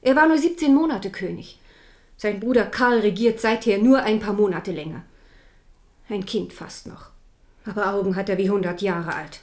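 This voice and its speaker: A woman's voice: medium pitch, normal speed, a little mellow, natural voice. An actress in a German radio play playing a proud, devout Protestant queen and protective mother, fervent and stern. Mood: grimly determined.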